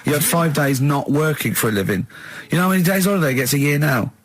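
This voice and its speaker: Husky, teasing man. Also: fairly high pitch, expressive, fast. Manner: teasing, argumentative. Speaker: radio show host. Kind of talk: casual comic banter.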